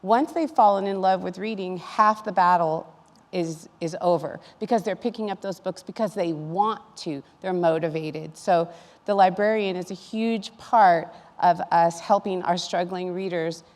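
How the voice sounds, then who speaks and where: A woman's voice: fairly low pitch, unhurried, a little mellow. Woman educator in a reverberant hall. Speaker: educator on a panel. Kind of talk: panel discussion, explanatory talk.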